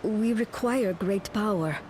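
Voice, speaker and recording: A woman acting a yearning, devoted servant of a ruthless master in a video game, speaking in a hushed, deliberate way. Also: mid-range voice, slight background noise, lightly acted. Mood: grave, resolute. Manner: slow, hushed, deliberate, longing.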